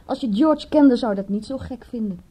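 Radio-play young woman, played by an actress: flippant, guarded, fairly high yet husky and soft. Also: fairly quick, natural voice. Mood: flippant, defensive. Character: flippant, defensive young woman, adopted at twenty-one. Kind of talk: radio drama acting.

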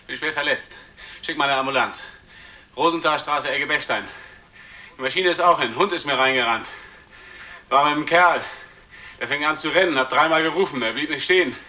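A policeman under strain in a radio drama. The voice is very sharp and fairly high, a little away from the microphone. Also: moderate pace, pauses often. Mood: wounded, faltering. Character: tense policeman, shouting orders, then wounded and calling in. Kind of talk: German radio play acting. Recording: slightly distant from the mic.

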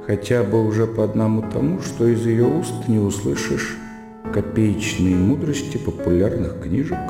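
Irritable sardonic gentleman in a radio play, dry and weary, big echo, music behind. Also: lowish voice, normal speed, vocal fry. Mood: wry musing. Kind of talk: radio drama acting, inner monologue and dialogue. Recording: echoey hall reverb, guitar music behind.

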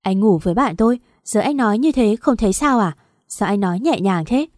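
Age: young adult. Figure: betrayed wife in a spoken audio story, shouting with rage, acted by a woman narrator. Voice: warm and mellow. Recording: dry. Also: medium pitch, lively intonation, a little fast, dry recording, close to an everyday voice. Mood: furious. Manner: shouting, raging accusations.

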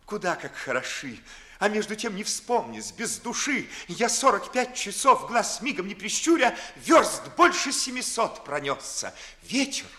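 An ardent, high-voiced theatrical young gentleman. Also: very animated, a little slow, exaggerated voice. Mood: ardent, excited. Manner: theatrical, declamatory. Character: ardent, witty young gentleman, talkative and mocking. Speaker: stage actor. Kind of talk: classical verse comedy acting, 1950s audio play.

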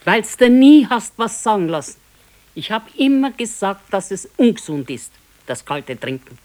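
A woman's voice: medium pitch, big pitch swings, normal speed, darkish tone, close to an everyday voice. A stoic elderly wife in a naturalistic radio drama, played by an actress. Her Bavarian-accented speech is terse and matter-of-fact, and she contradicts and scolds in a dry, unsentimental way. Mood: scolding. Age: older. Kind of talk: naturalistic radio play dialogue.

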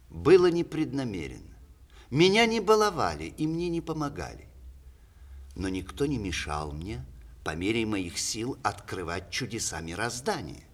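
Flirtatious, ironic playwright, mid-pitched and highly animated, precise 1960s radio-drama delivery. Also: male voice. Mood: self-mocking, reflective. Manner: ironic, declamatory, precise stage diction. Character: witty, vain, ironic playwright writing flirtatious letters. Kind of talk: 1960s Soviet radio theatre acting.

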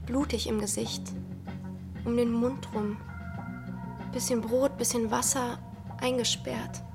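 A woman's voice: fairly high pitch, fairly even intonation, slow pace, music in the background. A young actress playing a restless, brooding village girl in a radio drama, speaking in intense, fragmented phrases. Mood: dreamy, haunted.

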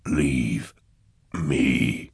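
Guy doing a deep, husky, super slow inquisitor who sounds far gone, dry close sound. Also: fairly even intonation, dry recording, full character voice. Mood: distant. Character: gravel-voiced entranced male Inquisitor.

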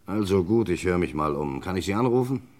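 A brusque businessman in a radio crime play. His voice is fairly low, and his manner is guarded and brisk, that of someone with little time to spare. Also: expressive, a little mellow. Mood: brusque, busy.

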